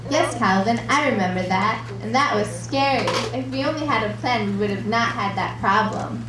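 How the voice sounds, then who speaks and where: A woman voicing a friendly, earnest female puppet with deliberate delivery in a scripted children's show. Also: fairly high pitch, music in the background, lightly acted. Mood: earnest, worried. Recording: echoey room, distant mic, clear noise.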